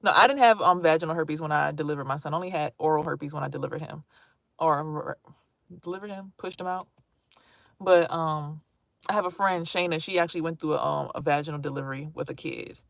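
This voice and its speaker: A woman's voice: low-pitched, fairly quick, vocal fry, no room sound, studio quality. Blunt chatty woman in her thirties. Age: 30s. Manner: blunt, animated, swearing freely, chatty asides to viewers. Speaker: vlogger streaming to viewers. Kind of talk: casual live-stream talk.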